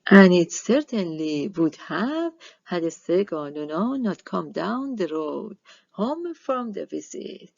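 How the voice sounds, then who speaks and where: A woman narrates a children's book expressively. She takes her time and lets some words crackle into fry. The recording is dry and close. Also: lowish voice, very animated, slow pace, darkish tone, no echo, muffled recording. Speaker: storybook reader.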